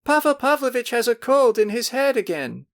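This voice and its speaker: A man voicing a group of excited young girls, calling out in ringing, impatient voices. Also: very high-pitched, normal speed, pitched above the performer's own voice, exaggerated voice. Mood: excited, teasing.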